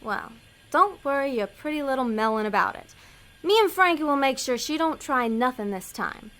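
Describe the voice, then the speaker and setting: A woman playing a tough-talking, sarcastic demon hustler in an audio comedy drama, deadpan and streetwise. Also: high-pitched, normal speed. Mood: brisk, tough.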